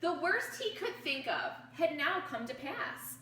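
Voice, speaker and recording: Animated, high-pitched woman reading to children. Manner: animated storytelling, pausing to pose questions to young listeners. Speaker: teacher reading a picture book aloud to pupils. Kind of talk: expressive children's read-aloud with questions to listeners. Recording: roomy, distant mic with faint noise.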